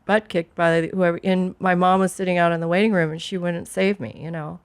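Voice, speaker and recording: A woman's voice: fairly low pitch, steady tone, a little fast, smooth. A middle-aged woman co-hosting a podcast, talking frankly and informally in a candid couple's chat. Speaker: podcast co-host.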